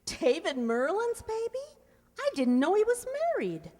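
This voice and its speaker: A woman's voice: extremely high pitch, very animated, measured pace, warm tone, exaggerated voice. A woman playing a wisecracking, weary young working woman in old-time radio comedy, wry and exclamatory. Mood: weary, guarded. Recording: echoey hall, audible background noise.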